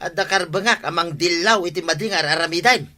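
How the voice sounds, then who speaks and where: A nagging Ilocano villager in a radio comedy. The voice is sharp and forceful, sitting slightly high, and argues in an indignant, scolding way. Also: male voice, a little fast.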